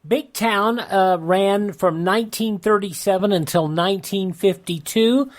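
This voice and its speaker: A man's voice: sits high, a little slow. A man hosting a radio show in a casual, folksy, conversational style. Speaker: radio host.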